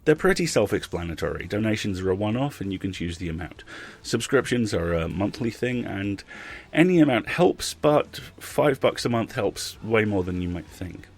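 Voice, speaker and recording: A man's voice: lowish voice, lively intonation, normal speed, few pauses. A man hosting a podcast, speaking conversationally to listeners with emphatic phrasing. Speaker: podcast host. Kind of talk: podcast outro with donation appeal.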